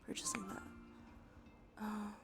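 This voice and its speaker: A woman livestreamer giving whispered ASMR commentary on a game, murmuring as she thinks aloud. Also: takes it slowly. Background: music.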